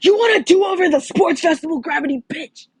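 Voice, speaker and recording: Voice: extremely high pitch, a little fast, no room sound, voice pushed up. A woman voicing a furious, combative teenage boy who taunts in a storybook reading. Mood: aggressive taunting. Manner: shouting, taunting.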